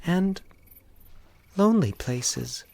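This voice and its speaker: A man voicing a small, lonely, shy and eerie woman in a horror story, speaking softly and hesitantly. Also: high voice, expressive, extremely slow, gravelly, no room sound, voice pushed up, full character voice. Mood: shy, wistful. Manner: soft, hesitant, diffident.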